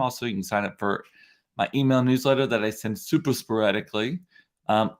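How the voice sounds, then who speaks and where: Forties male host, stuffy and sniffly, loose chatty delivery, mid-pitched voice. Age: 40s. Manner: chatty, rambling storyteller. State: congested, sniffly. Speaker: livestream host. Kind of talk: casual solo livestream chat. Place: room at home.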